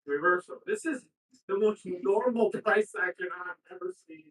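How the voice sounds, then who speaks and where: A man with a fairly high voice talks through price moves on a live trading stream, with dry sarcasm about how normal the market looks. The sound is echoey and roomy. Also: expressive, normal speed, fairly bright tone, far from the mic. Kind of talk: casual market commentary.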